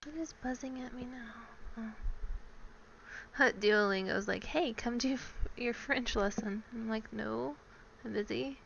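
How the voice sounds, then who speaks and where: Bright, matter-of-fact woman, muffled mic. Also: a little high, measured pace, vocal fry. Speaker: gaming group member. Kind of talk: casual chat over voice call.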